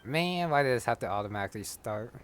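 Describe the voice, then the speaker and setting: A man's voice: medium pitch, lively intonation, quick pace, soft background music. Man muttering wry gameplay asides. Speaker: gaming channel host. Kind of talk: casual let's-play commentary.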